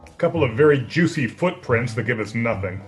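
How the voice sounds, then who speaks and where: A man's voice: medium pitch, lively intonation, a little fast, faint music behind, natural voice. A man playing a practical homicide detective in a radio play, plainspoken and matter-of-fact. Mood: businesslike.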